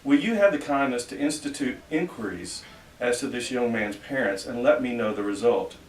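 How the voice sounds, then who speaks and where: A man's voice: medium pitch, animated, moderate pace. Man reading dramatically in an echoey room. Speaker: reader at a library event. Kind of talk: dramatic reading of an old formal letter. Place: library reading room at a public event. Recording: echoey room, distant mic, faint noise.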